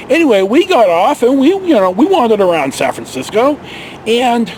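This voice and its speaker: High-pitched, animated older American man, rambling. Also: a little hiss or hum, rough recording. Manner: folksy, digressive, mild swearing. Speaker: vlog host telling personal stories. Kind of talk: casual rambling storytelling. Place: outdoors in a park.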